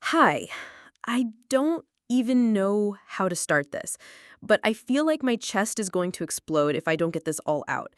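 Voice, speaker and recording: Woman, late twenties, confessional storyteller; lively intonation, dry close mic. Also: medium pitch, unhurried, no echo. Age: late 20s. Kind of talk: first-person storytelling narration.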